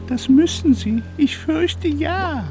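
A small friendly ghost in a children's radio play. The voice is very high yet mellow, concerned and gently insistent, with faint music around it. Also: female voice, lively intonation, slow, full character voice. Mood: worried. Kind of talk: children's radio drama acting.

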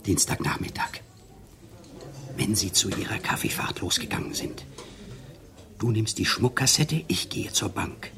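A manipulative, silver-tongued male swindler in love, with a low voice. His delivery swings widely, theatrical and intimate, slipping into murmurs, in the manner of a radio crime play. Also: animated, measured pace, gravelly, long pauses, a crowd in the background. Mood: conspiratorial. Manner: intimate, theatrical, often drops to murmurs and whispers. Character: smooth-talking male conman lover, self-pitying, manipulative. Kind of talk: German radio crime drama acting.

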